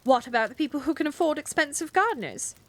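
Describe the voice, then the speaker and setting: A woman's voice: mid-range voice, sing-song, normal speed, fairly bright tone. A woman voicing a prim, easily flustered middle-aged witch who snaps when pressed.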